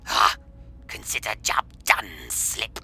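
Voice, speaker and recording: Sneering, sharp-edged alien bounty hunter. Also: male voice, takes it slowly, faint music behind. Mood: smug. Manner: sneering, taunting delivery. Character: gluttonous, proud, mocking male alien bounty hunter. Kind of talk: radio play character acting.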